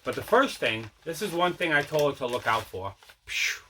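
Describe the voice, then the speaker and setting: A man's voice: a little high, big pitch swings, normal speed, bright, forceful tone, a little hiss or hum. A man hosting a casual vlog about his collection, rambling and repeating himself as he talks. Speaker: host of a mail day collecting vlog. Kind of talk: casual chat showing movie pickups.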